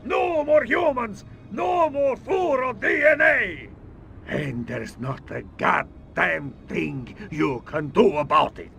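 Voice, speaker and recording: An actor playing a menacing alien villain bent on wiping out humanity, making drawn-out threats in a video game. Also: male voice, high voice, gravelly, bright, forceful tone, raised voice, a little hiss or hum, heavily acted. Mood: menacing rage.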